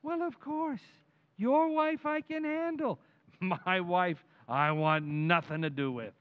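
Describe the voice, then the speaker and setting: A man's voice: sits high, takes it slowly, clean tone, phone-quality sound. A man playing a brash, cynical wise-guy buddy in an old-time radio comedy, needling and cocksure.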